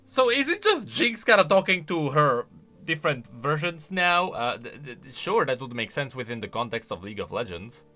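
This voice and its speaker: A man's voice: mid-range voice, big pitch swings, normal speed, bright, forceful tone, soft background music. A man giving casual, exclamatory reaction commentary, thinking aloud as a video creator.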